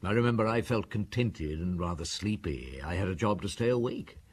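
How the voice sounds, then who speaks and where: A man playing an unhurried French police inspector in an audio drama, courteous and ruminative as he questions and recounts. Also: low voice, lively intonation, slightly rough. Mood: relaxed, musing.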